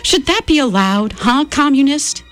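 A woman's voice: high voice, animated, normal speed, soft background music, voice pushed up. A woman voicing a doting but scolding mother with a fussing, tart manner.

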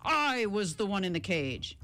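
A woman's voice: medium pitch, big pitch swings, unhurried, a bit bright. A woman voicing a proud, short-tempered male tiger in a children's story, imperious and exasperated, stretching words for emphasis. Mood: enraged.

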